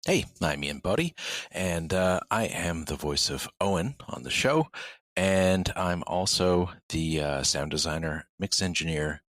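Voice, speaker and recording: A man's voice: low voice, expressive, measured pace, fairly bright tone, dry recording. A man speaking casually as a guest in an interview. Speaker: podcast guest, sound designer.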